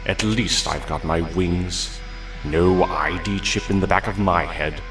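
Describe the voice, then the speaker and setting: Grim old-world robot warrior, super low and slow, mournful solemn speeches, music under it. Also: male voice, distant mic. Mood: brooding. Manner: grave, slow, solemn monologue. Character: brooding male robot warrior mourning his lost world. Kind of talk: motion comic voice acting.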